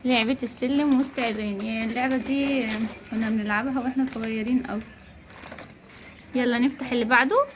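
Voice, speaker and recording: An Egyptian woman talks quickly in a highish voice, presenting a family vlog. She explains things casually to the viewers and chats a child along. The recording is a homemade one with clear background noise. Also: fairly even intonation. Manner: chatty, explaining, prompting a child. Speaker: mother co-hosting a family unboxing vlog. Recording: clearly noisy home-video recording.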